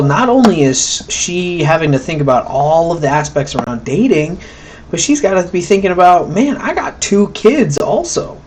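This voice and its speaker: A man giving a casual, conversational recorded lecture, often checking in with "right?" and acting out quoted thoughts. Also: on the high side. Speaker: college instructor.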